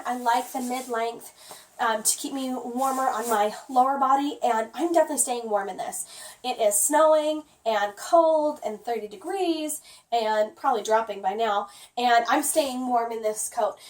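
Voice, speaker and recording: A hoarse woman who has nearly lost her voice, chatting casually through a product review with lots of "um"s. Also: a little high, moderate pace, recorded from a distance, slight background noise. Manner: chatty, rambling, frequent "um".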